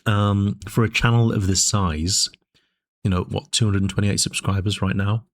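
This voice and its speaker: Lower-voiced man hosting a commentary channel. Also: fairly even intonation. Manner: measured, discursive, with frequent fillers and restarts. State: dry voice, sipping tea. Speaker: political commentary channel host. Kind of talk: casual spoken commentary.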